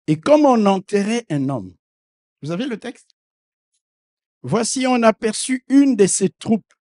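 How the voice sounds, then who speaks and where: A middle-aged man preaching a sermon as a bishop, not a native speaker of the language. He preaches fervently, calling out hallelujahs and swinging from quiet asides to loud proclamations. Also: sits high. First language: not French.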